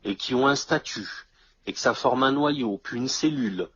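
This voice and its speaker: Man, heavily rough and husky voice, explanatory conversational delivery, muffled low-quality recording. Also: moderate pace, fairly bright tone. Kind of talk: casual two-person discussion. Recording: dull lo-fi audio.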